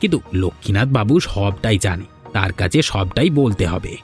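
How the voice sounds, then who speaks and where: A man's voice: on the low side, animated, fairly quick, lightly acted. A man voicing a landlord's sly, insolent errand man in a village cartoon, with a taunting, insinuating manner. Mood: needling. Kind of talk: cartoon voice acting. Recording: music behind the voice.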